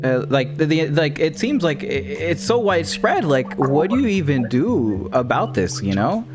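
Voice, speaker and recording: A man's voice: on the high side, big pitch swings, normal speed, over music. A man hosting a casual conversation, speaking hesitantly with repeated words and many "like" and "uh" fillers. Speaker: YouTuber host. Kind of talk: casual video-call conversation.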